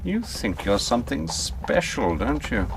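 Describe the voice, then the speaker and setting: An actor playing a menacing, volatile killer who taunts and goads in a radio drama. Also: male voice, mid-range voice, big pitch swings, a little slow, creaky, noisy background. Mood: taunting. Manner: goading, threatening, mocking.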